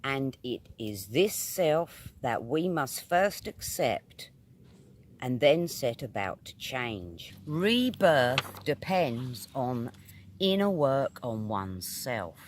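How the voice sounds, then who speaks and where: A man with a British accent reading a spiritual essay aloud in a measured, emphatic, oratorical manner. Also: medium pitch, very animated, a little hiss or hum. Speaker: reader of metaphysical essays.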